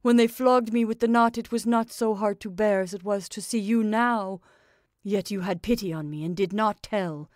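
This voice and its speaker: A woman reading the part of a guilty, remorseful male convict who begs desperately for forgiveness, her voice raised and loud. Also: mid-range voice, normal speed, no room sound, studio quality, natural voice. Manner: imploring, desperate.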